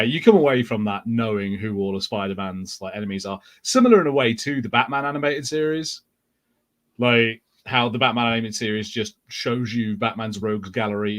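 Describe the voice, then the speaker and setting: A man's voice: fairly low pitch, a bit bright. A man co-hosting a podcast, explaining his opinions in casual, conversational speech. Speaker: podcast co-host. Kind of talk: casual chat.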